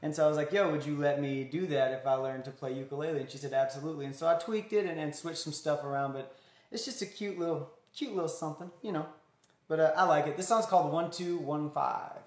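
A man's voice: fairly high pitch, quick pace. A man in his late twenties chatting casually between songs at a home concert, easygoing and chatty, with fillers. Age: late 20s. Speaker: singer-songwriter. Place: small room at home with guitars on the wall. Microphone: phone or camera in front of him. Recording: roomy home room, guitar playing behind.

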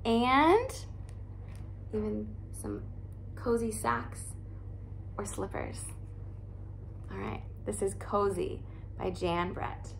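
A woman in her thirties, a teacher, reading a picture book aloud in a soft, cozy way in a living room by a fireplace. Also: medium pitch, wildly swooping pitch, takes it slowly, creaky, long pauses, slight background noise, low-quality recording.

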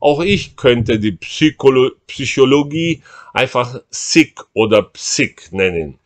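Slow-reading man. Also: mid-range voice, animated. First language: Portuguese. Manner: deliberate, measured reading with dry humor. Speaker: author reading his own text. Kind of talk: reading aloud, switching between languages. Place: room at home, indoors. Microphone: webcam at desk.

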